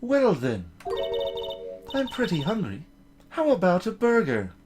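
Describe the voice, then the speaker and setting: A woman acting a composed mentor, animated pitch. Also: a little slow, music in the background. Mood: soft. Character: composed, gentle adult woman, a mentor lawyer.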